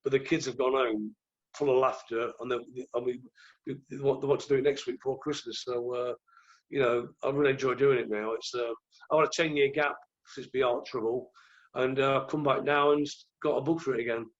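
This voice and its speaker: A croaky-voiced man, telling rambling stories as a podcast guest. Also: mid-range voice, steady tone, a little fast, lo-fi sound. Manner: rambling, restarts often. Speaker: guest, former goalkeeper. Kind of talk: relaxed anecdotal chat.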